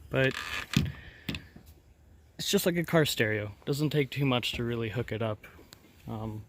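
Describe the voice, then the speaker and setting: Casual man explaining over noticeable noise. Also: medium pitch. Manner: informal, step-by-step explaining. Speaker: host of a DIY installation demonstration. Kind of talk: casual hands-on tutorial narration.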